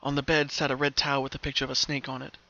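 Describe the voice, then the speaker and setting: A man's voice: mid-range voice, level tone, rapid-fire, vocal fry, bright, forceful tone, muffled recording. A man reading a scripted story aloud as its narrator, in a dramatic storytelling style.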